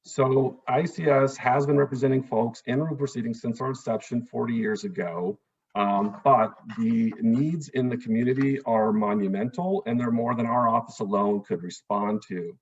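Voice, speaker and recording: A man's voice: mid-range voice, fairly even intonation, moderate pace, slightly rough, dull audio. A man, an immigration attorney, giving an earnest, explanatory town hall talk over a video call, with frequent "um"s and "uh"s.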